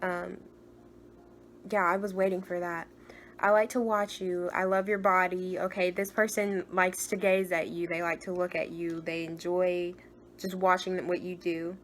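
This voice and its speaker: A young woman with a naturally higher voice reads tarot cards in a relaxed, chatty way. She gushes over what she finds and drops to soft murmurs when she sets her intention. A faint hiss sits under the close recording. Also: unhurried. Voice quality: higher-pitched voice. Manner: chatty and gushing, trails off with soft murmured asides. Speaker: tarot reader. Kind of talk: casual tarot reading.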